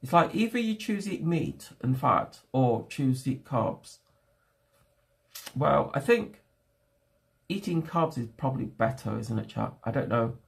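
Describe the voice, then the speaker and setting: A young man whose first language is not English, chatting casually to his livestream viewers from home and thinking aloud in halting, restarting phrases. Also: medium pitch, big pitch swings, creaky. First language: a home language other than English. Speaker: streamer.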